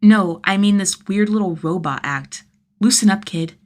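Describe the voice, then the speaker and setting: A woman's voice: mid-range voice, moderate pace, lightly acted. A woman voicing a sardonic young man, a vigilante who talks in casual, teasing slang in a story reading. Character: gruff, sardonic young man vigilante, wryly fond of kids.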